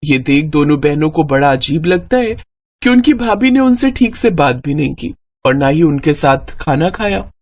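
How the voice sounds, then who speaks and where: A woman reading a scripted moral story as an expressive narrator. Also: deep voice, normal speed.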